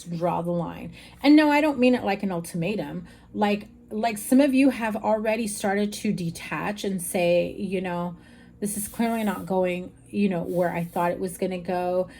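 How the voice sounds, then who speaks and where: A woman with a slightly raspy voice giving a frank, chatty tarot reading straight to her viewers. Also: mid-range voice, darkish tone, a little hiss or hum. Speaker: tarot reader. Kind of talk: casual tarot reading to camera.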